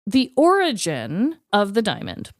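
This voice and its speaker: A woman's voice: mid-range voice, huge pitch swings, measured pace, dry recording, studio quality. A woman co-hosting a podcast, telling a story in a casual, slangy, conversational way. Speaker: podcast co-host.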